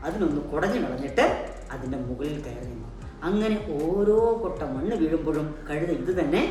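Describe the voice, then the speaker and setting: A woman's voice: deep voice, expressive, a little fast, dark tone. A woman hosting a puppet show and telling a story to children in a teacherly way, with questions and repeated key words. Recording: very echoey, distant mic, background music.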